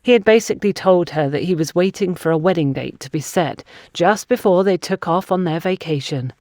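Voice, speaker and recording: A woman's voice: on the low side, fairly quick, a little mellow, no echo, clean studio sound. A woman reading scripted fiction as an audiobook narrator.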